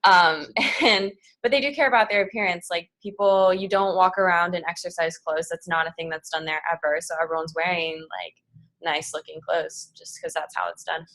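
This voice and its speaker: A woman's voice: fairly low pitch, fairly even intonation, fairly bright tone. A nineteen-year-old woman answering questions on a student panel, chatty and upbeat. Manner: chatty, upbeat, quick asides. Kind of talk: casual Q&A answers.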